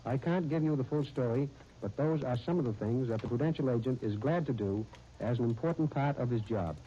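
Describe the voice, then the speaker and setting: Middle-aged American male agent; formal read delivery, very mellow tone, muffled vintage radio sound. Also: medium pitch, fairly quick. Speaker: insurance agent guest. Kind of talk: scripted 1930s radio interview. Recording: muffled, faintly noisy 1930s radio.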